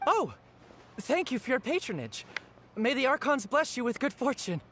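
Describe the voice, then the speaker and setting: A man's voice: very high-pitched, sing-song, normal speed, bright, forceful tone, slight background noise, full character voice. A man voicing an eager, humble young newspaper seller in a video game, polite, apologetic and flustered. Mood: grateful, sheepish. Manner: polite, flustered, self-effacing. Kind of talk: video game character dialogue.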